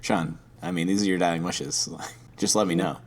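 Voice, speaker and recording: A man's voice: low-pitched, fairly even intonation, moderate pace. A man co-hosting a podcast in casual chat, teasing his partner and laughing a lot. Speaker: podcast co-host.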